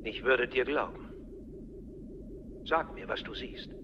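A man's voice: mid-range voice, lightly acted. Man as a fatherly older mentor, dull sound. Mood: soothing. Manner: measured, paternal. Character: fatherly, patient, subtly manipulative older male mentor. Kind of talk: radio play acting. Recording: dull sound, clearly noisy ambience.

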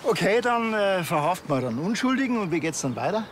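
A man's voice: high voice, sing-song, quick pace, a little hiss or hum. An actor playing a sceptical, world-weary middle-aged policeman with a Bavarian accent in a comic TV crime drama. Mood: resigned. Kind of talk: dry comic TV crime dialogue.

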